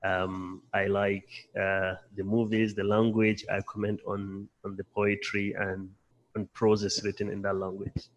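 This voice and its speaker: A man from Nigeria whose first language is Hausa, giving a measured self-introduction at a conference with frequent pauses. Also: lowish voice, completely flat delivery, dull audio. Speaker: conference presenter. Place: conference hall.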